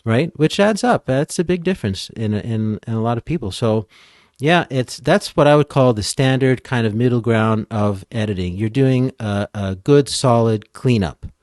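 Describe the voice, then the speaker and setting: A man giving casual, informal expert explanations as a podcast guest, thinking out loud as he goes. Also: mid-range voice, moderate pace, no room sound, clean studio sound. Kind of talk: casual explanatory interview talk.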